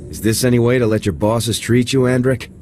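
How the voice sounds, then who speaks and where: An actor playing a calm, firm soldier hero in a video game, with a dry delivery. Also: male voice, medium pitch, fairly quick, soft background music, lightly acted. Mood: dry, confident. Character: composed, steely male soldier hero.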